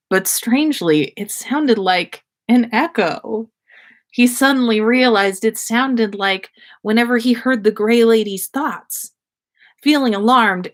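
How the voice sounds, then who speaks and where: A woman reading fan fiction aloud as a podcast host, stumbling slightly over words and acting out the dialogue. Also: medium pitch. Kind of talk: story read aloud on a livestream.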